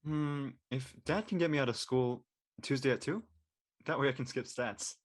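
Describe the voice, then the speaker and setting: A man's voice: mid-range voice, moderate pace, no echo, clean studio sound, natural voice. A man playing a curious, casual teenage nephew in a live script reading. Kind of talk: naturalistic live table-read acting.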